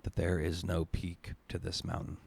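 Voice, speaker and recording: A man narrating a dramatic spoken-word piece in an intense, deliberate, emotive way with weighted pauses. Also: low voice, completely flat delivery, creaky, a little mellow, a little hiss or hum. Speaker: narrator, essayist. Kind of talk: dramatic spoken-word storytelling in second person.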